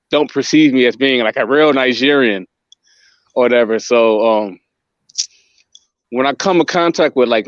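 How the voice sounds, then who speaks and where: A man's voice: mid-range voice, clean tone, no room sound. A man of Nigerian descent raised in the US hosts a casual panel talk. He speaks hesitantly, with many ums and restarts. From United States. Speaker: talk show host. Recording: dull lo-fi dry sound.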